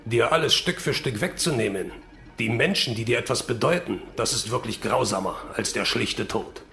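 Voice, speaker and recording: A man playing a bitter, gloating villain in a radio drama, deliberate and taunting. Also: mid-range voice, a bit bright, soft background music, natural voice. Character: bitter, gloating villain, vengeful disowned son.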